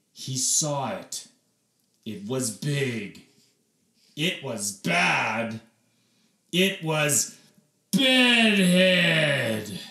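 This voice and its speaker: A man reading a children's story aloud expressively, with theatrical emphasis and dramatic pauses. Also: on the high side, sharp tone, roomy sound. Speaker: read-aloud storyteller, teacher.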